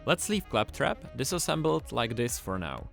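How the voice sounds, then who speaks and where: A man presenting a scripted tutorial, explaining things clearly and with enthusiasm. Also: medium pitch, very animated, moderate pace, fairly bright tone. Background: music.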